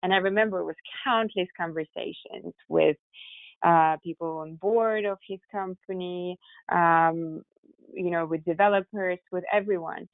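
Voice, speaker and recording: A woman's voice: lowish voice, fairly even intonation, unhurried, dry recording. A woman with a Russian accent, telling her story as a company founder in a conversational interview, over a muffled, narrow recording. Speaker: tech company founder, podcast guest. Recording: muffled narrow phone-like sound.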